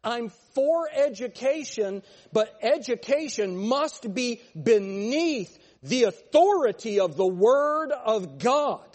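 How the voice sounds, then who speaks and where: A male pastor preaching a fiery sermon with a thin, nasal voice, pressing his points with forceful, repeated phrases. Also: extremely high pitch, animated, takes it slowly, hardly any pauses, long reverb tail. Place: church sanctuary.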